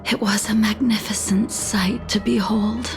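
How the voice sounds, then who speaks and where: Woman acting a solemn, measured exiled warrior woman. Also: mid-range voice, steady tone, faint music behind, lightly acted. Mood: solemn, wistful. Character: composed, melancholy female warrior exile returning to atone. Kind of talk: video game voice acting, scripted dramatic dialogue.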